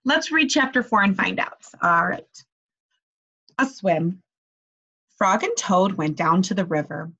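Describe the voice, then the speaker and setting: Young woman teacher, read-aloud, animated intonation, husky voice, slightly dull webcam audio. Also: medium pitch, measured pace, long pauses. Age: young adult. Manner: animated, expressive. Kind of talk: children's read-aloud with questions to listeners. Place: small bedroom, indoors.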